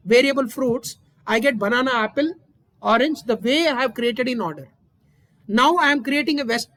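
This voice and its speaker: High-voiced woman teaching coding. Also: no room sound. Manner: steady step-by-step explaining, frequent "right?". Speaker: instructor. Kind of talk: screen-recorded coding lesson.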